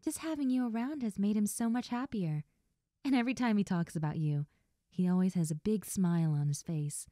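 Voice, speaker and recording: A woman playing a best friend's friendly, nosy older sister in an intimate roleplay audio drama, talking softly and coyly straight to the listener. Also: mid-range voice, brisk, no echo, clean studio sound, close to an everyday voice. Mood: friendly, grateful. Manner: soft, gentle, coy, addressing the listener directly.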